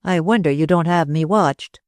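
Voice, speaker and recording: A woman voicing a calm, curt young Englishman in a read-aloud story. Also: deep voice, moderate pace, no echo, natural voice. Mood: cool. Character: cool-headed young Englishman.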